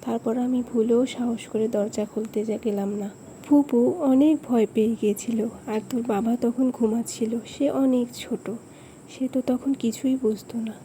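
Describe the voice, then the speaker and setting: Lady playing village grandma telling a creepy story, soft mellow high-ish voice, bit of background noise. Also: fairly even intonation, moderate pace, natural voice, low-quality recording. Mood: fearful, reminiscing. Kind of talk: storytelling narration for a cartoon.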